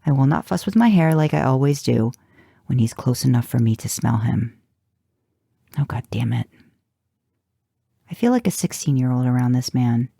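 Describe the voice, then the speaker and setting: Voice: medium pitch, animated, moderate pace, gravelly, pauses often, dark tone, slightly rough, dry recording, studio quality. A narrator reading first-person fan fiction in an audiobook style, with a wry, intimate delivery. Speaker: fan fiction podcast reader.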